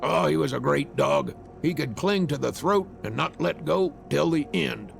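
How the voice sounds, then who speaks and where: A man voicing a gruff, angry father who hunts, scolding as he goes, in a visual novel reading. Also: fairly high pitch, normal speed, faint music behind. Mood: gruff boasting.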